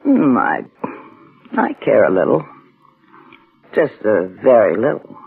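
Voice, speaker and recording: An actress in a 1960s radio suspense play, playing a sly, mocking illusionist who coldly schemes murder. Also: female voice, very deep voice, sing-song, takes it slowly, vocal fry, husky, full character voice. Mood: coolly teasing. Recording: tinny old radio drama, music behind.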